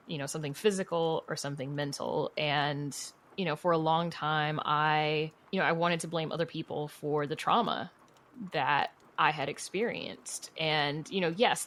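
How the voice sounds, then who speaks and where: A woman with a notably low voice talks casually as an interview guest. She gives advice in a candid, encouraging way, leaning often on "you know" as she goes. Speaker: podcast guest, writer and producer.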